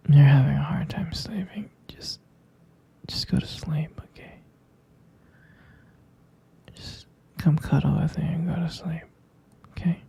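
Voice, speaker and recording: A man in an ASMR roleplay as a tender vampire boyfriend, whispering soothingly and haltingly to help his partner sleep. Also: a little high, vocal fry, husky. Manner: soft, halting, soothing whisper with murmured hums. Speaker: ASMR performer.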